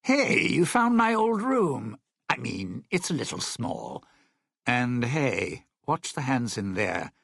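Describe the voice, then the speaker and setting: An actor voicing a suave, bitter, century-old lounge singer in a video game, a sardonic and scheming showman with a raspy voice. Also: medium pitch, sing-song, slow pace, a bit bright, no echo, studio quality, full character voice. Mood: wry, friendly. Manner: theatrical, sardonic showman talking in stage metaphors.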